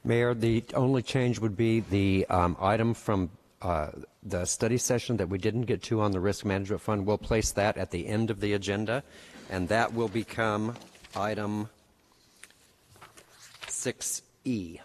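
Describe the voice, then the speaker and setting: Low-voiced man giving a hesitant staff update. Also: steady tone, measured pace. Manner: hesitant, businesslike. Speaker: city staff member.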